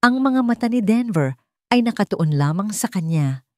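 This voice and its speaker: A woman's voice: medium pitch, expressive, moderate pace, no room sound, studio quality. A woman reading dramatic scripted narration as an audio storyteller.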